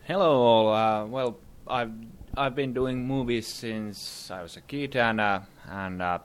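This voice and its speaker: A man's voice: mid-range voice, unhurried, clean tone. A young man, a filmmaker answering interview questions in a hesitant way with many fillers. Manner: hesitant, many fillers, laughs while talking. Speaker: filmmaker guest. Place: conference summit venue.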